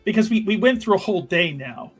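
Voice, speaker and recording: A man playing a tabletop roleplaying game, improvising his character's actions in a halting way and repeating phrases for emphasis. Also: high voice, animated. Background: background music.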